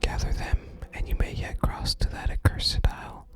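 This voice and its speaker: A person voicing a solemn ancient hero's message from a fantasy game, in a grave, archaic, breathy ASMR whisper. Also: normal speed, a bit bright, faint music behind, natural voice. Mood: solemn, urging. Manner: grave, archaic, stately reading. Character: solemn ancient legendary hero speaking from a gravestone inscription.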